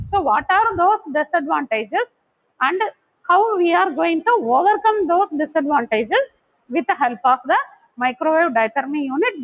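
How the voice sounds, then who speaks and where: A woman lecturer giving an explanatory technical class in a didactic way, posing questions and then answering them. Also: very high-pitched. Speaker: college lecturer. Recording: thin, phone-like narrow-band audio.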